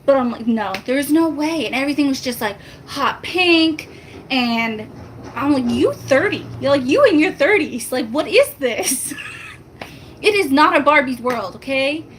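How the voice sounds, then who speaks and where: Chatty soft-spoken woman. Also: expressive, measured pace, a little hiss or hum. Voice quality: soft-spoken, high-pitched. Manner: chatty, rambling, self-mocking, laughs often. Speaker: family vlogger. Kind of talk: casual chat to viewers.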